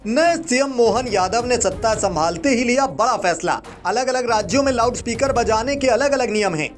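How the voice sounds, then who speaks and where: A man's voice: very high voice, animated, moderate pace. A man reading a scripted news explainer as a video narrator. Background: music.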